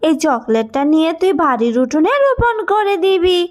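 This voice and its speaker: A woman's voice: very high voice, very animated, a little fast. A woman voicing a kindly witch-ghost of the forest, cooing with sympathy, in a cartoon. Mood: sympathetic. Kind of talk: cartoon voice acting.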